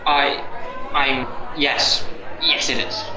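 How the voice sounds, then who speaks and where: A man's voice: mid-range voice, little pitch movement, very slow, a crowd in the background, noisy background. An actor playing a pedantic, defensive male employee arguing his case in a radio drama. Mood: sheepish. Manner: pedantic, argumentative. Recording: roomy echo, music underneath.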